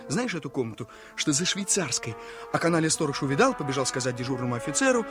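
An actor playing an eager, naive young officer and younger brother in a theatrical, exclamatory radio drama style. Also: male voice, a little high, very animated, quick pace. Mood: confiding, rueful. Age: young adult. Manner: exclamatory, boyishly chatty, emotive. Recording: radio play with faint music.

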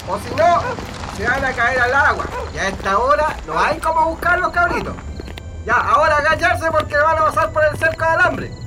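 An actor playing a menacing military guard in a Chilean radio drama, barking orders and taunting prisoners in a Chilean accent. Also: male voice, very high voice, poor audio. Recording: music behind the voice.

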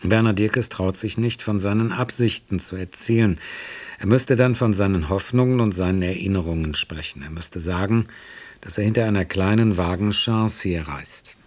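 A man with a husky voice reading a scripted announcement as a radio announcer. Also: low-pitched, normal speed, darkish tone.

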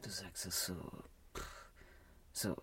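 A woman playing a wild, capricious Parisian courtesan in a radio play, teasing, halting and volatile. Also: very deep voice, fairly even intonation, a bit bright, slightly rough, in a whisper, full character voice. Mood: coaxing.

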